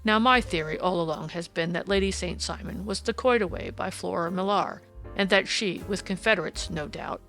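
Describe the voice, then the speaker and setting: A woman voicing a gruff, irritable policeman in an audiobook, snarling and emphatic with indignation. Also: fairly low pitch, normal speed, fairly bright tone, faint music behind, low-quality recording. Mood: insistent. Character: gruff, irritable, blustering male police detective.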